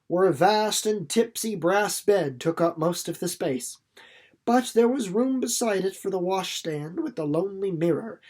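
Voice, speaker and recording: A narrator reading a children's novel aloud in an expressive, deliberately phrased storytelling way. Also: mid-range voice. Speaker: audiobook reader.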